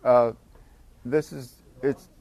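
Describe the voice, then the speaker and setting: An older man giving a casual workshop talk to a live group on a handheld mic, halting and often restarting his sentences. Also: mid-range voice, steady tone, creaky, a little mellow, highs cut off. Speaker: workshop speaker at a recovery meeting. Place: meeting room with an audience. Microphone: handheld microphone.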